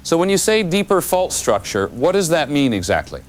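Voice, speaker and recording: An actor playing a studio news anchor who introduces the guest and asks composed, probing questions. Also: male voice, a little high, sing-song, normal speed, a little hiss or hum. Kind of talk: audio drama news broadcast.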